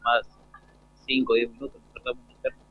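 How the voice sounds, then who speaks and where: A man's voice: mid-range voice, flat delivery, fast talker. Phone-like sound, young man. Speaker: student interviewee. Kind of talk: brief informal interview answers. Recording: thin phone-like, band-limited live sound.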